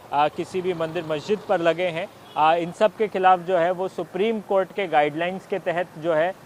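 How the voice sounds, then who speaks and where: Guy doing tv news from the street, kinda high voice, halting with fillers, faint traffic behind. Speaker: TV news field reporter. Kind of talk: on-location news reporting and interviewing. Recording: outdoor street noise, traffic.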